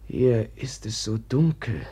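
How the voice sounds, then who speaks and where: An actor in a German radio drama playing a frail, trembling man, horrified yet tender, halting and lapsing into whispers. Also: on the low side, sing-song, warm tone, heavily acted. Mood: horrified, shaken. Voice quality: raspy, breathy.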